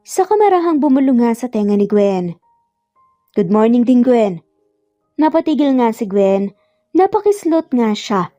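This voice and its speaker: A woman's voice: on the high side, dark tone, muffled recording. A woman with a Filipino accent narrating a scripted dramatic story.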